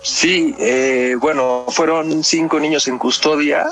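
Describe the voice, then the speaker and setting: A man's voice: on the high side, steady tone, slow, a bit bright, soft background music. A man with a Mexican accent explaining things conversationally as a podcast guest, often ending phrases with questioning tags.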